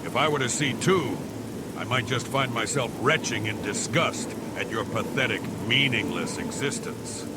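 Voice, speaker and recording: A man's voice: mid-range voice, moderate pace, close to an everyday voice. A man playing a boastful heretic villain in a fantasy game, pompous, theatrical and full of contempt. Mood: contemptuous, threatening. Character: boastful, menacing heretic villain, damned priest-slayer. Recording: loud rain and thunder noise behind.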